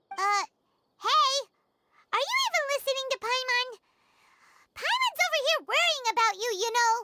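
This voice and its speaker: A woman's voice: extremely high pitch, sharp tone, a little hiss or hum, heavily acted. A woman voicing a small, chatty, fretful fairy companion in a video game, talking in an exclamatory way. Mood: exasperated, fretting. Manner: refers to self in third person, exclamatory. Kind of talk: animated video game voice acting. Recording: game music behind the voice.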